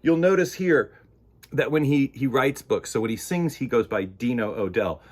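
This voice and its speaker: Male storytime host, slightly rough-edged voice, very lively intonation, playful and emphatic read-aloud for young kids. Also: mid-range voice, moderate pace. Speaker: children's storytime host and reader. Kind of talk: animated picture-book read-aloud.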